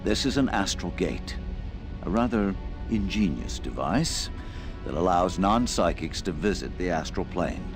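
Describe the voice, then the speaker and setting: An actor playing an erudite mutant scientist in a video game, articulate, wordy and wry. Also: male voice, animated, measured pace. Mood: wry, pleased. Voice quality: deep, gravelly. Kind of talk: video game cutscene acting. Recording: music clearly behind the voice.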